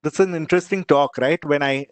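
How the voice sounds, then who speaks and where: A man's voice: fairly high pitch, brisk, dry recording. Indian man, poet guest, first language Gujarati, earnest and searching, dry close sound. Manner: earnest, restarts often, thinks aloud. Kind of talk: online panel interview, reflective answers.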